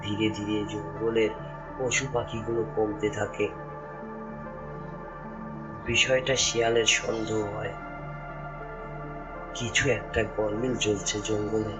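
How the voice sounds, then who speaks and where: A man's voice: on the low side, flat delivery, slow pace, long pauses, over loud music. A man narrating a scripted animal story for a cartoon.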